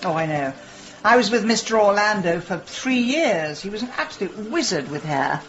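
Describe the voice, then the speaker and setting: An actress plays a determined, impulsive woman sleuth in middle age, sharp-tongued and insistent. Her delivery swings animatedly through a radio drama, with music around it and a slightly dull recorded sound. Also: medium pitch, expressive, lightly acted. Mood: wry, chatty. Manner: brisk, insistent, argumentative.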